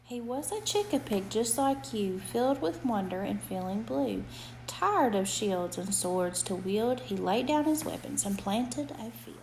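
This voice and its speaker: Woman storyteller, fairly high voice, expressive bedtime read-aloud, rhythmic and animated, slight background noise. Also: a little slow, gravelly, rough recording. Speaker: storyteller reading to a child.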